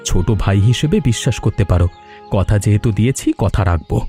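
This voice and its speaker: Guy doing earnest young cartoon son, talks fast, soft dark tone. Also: on the low side, lively intonation, soft background music, lightly acted. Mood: reassuring. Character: dutiful young adult son, earnest, reasoning. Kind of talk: Bengali cartoon voice acting.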